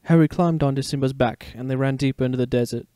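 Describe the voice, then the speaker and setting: A man narrates a story in a dramatic storytelling style. His voice sits at a middle pitch, and the recording is very dry. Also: steady tone, fast, mellow, no room sound.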